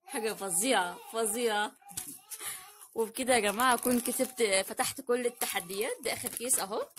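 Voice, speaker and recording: Fast-talking, high-voiced Egyptian woman. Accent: Egyptian Arabic. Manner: animated, repeats phrases for emphasis. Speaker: vlog host. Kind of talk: casual unboxing vlog chat. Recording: noisy home recording, crinkling packets.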